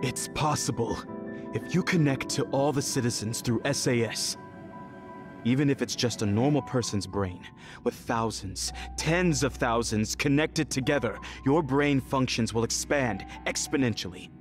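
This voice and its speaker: A man plays a domineering older brother, a fanatical video game villain bent on revenge. His mid-pitched voice lectures with condescension and command, over loud dramatic music. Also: normal speed, lightly acted. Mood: grim, lecturing. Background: dramatic background music.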